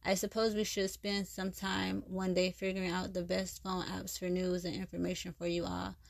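A woman's voice: on the low side, completely flat delivery, moderate pace, creaky, no echo, studio quality. A woman reading as a weary male history teacher. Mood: lecturing. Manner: lecturing, a little tired.

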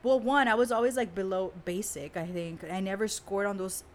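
A woman's voice: medium pitch, brisk, slight background noise. A woman in her twenties from San Diego, a native Spanish speaker, talking frankly and casually as a guest. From San Diego, California. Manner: candid, frank, swears casually. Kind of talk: casual conversation.

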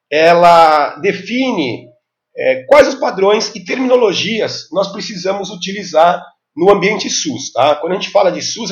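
A Brazilian man in his fifties explaining things as an interview guest, didactic, often adding short tag questions to check the listener follows. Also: sits high. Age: 50s. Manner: didactic, with frequent tag questions seeking agreement. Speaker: interview guest, health informatics specialist. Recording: dull, lo-fi audio.

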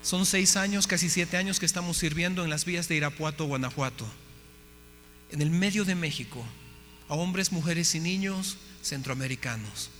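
Guy preaching his testimony, bright ringing voice, keeps asking the crowd questions. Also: a little high, normal speed, long reverb tail, a little hiss or hum. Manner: impassioned, asks the audience questions. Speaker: missionary preacher. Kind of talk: testimony sermon to a congregation.

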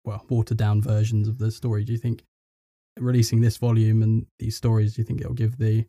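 A man's voice: lowish voice, completely flat delivery, brisk, mellow, no room sound, clean studio sound. A man hosting a podcast, asking questions and chatting in a hesitant, conversational way. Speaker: podcast host, interviewer.

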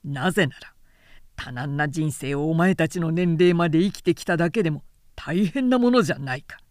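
Storybook warlord, acted by a woman: magnanimous, authoritative, hearty and brisk. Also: low voice, darkish tone, dry recording, clean studio sound, voice pushed down, lightly acted. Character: commanding, magnanimous male warlord honoring the elderly. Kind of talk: audiobook character reading.

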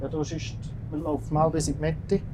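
Over clear train noise, a conductor speaks in a medium-pitched voice with a Swiss German accent. The talk is casual and practical, like one colleague explaining the job to another. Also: level tone, a little fast. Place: aboard a moving passenger train. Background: train rumble and vehicle noise.

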